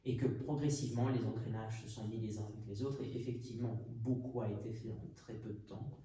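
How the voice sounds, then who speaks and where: A man's voice: medium pitch, fairly even intonation, brisk, warm tone. A man from Portugal hosting a podcast conversation, thinking aloud and hesitating often. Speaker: podcast host. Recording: echoey room, mic at a distance, faint noise.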